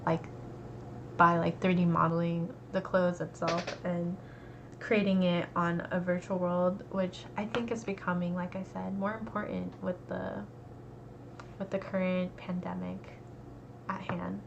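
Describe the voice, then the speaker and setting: A young American woman, an art student, talking casually and relaxed, with lots of fillers. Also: fairly low pitch, measured pace, creaky. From United States. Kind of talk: casual conversation. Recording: dull lo-fi recording with faint noise.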